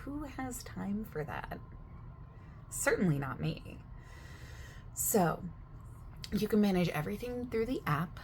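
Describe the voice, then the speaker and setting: A woman speaking softly in an ASMR roleplay as a gym staff member, chatty and overexplaining, often dropping into a whisper partway through a sentence. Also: medium pitch, animated, takes it slowly, pauses often, slight background noise. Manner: soft-spoken, slipping into whispers mid-sentence. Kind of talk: soft-spoken ASMR sales roleplay.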